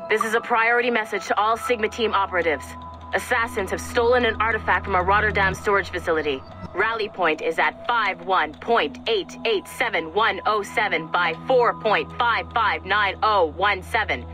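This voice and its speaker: An actress playing a brash strike-team handler who gives orders in a snide, commanding way. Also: female voice, medium pitch, normal speed, lightly acted. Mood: brisk, sarcastic. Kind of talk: scripted game dialogue, mission briefing. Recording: loud music behind the voice.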